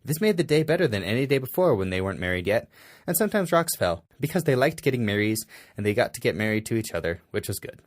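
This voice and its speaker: A man's voice: mid-range voice, sing-song, quick pace, dry recording, studio quality. A man reading a story aloud in an exaggerated, mock-serious dramatic way. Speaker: amateur fanfiction reader.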